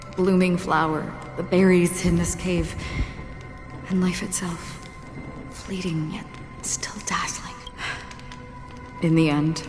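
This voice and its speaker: Wistful swordswoman, low pitch, grave and reflective, video game drama, long reverb. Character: wistful, solemn wandering swordswoman burdened by forgetting. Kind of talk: English video game voice acting. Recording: long reverb under loud music.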